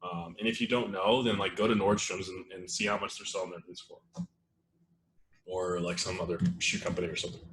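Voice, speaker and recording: A man with a low, slightly strained voice runs a live webinar in loose, rambling talk full of fillers. He sits at a desk mic in an echoey room with a faint hiss behind him. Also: a little fast. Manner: relaxed, rambling, many fillers and restarts. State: losing his voice, clearing throat. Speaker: webinar host.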